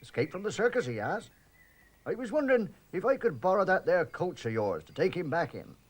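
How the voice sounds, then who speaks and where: A man voicing a pompous, bumbling rural police constable in slow countrified dialect. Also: sits high, sing-song. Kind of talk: 1960s children's TV animation voice.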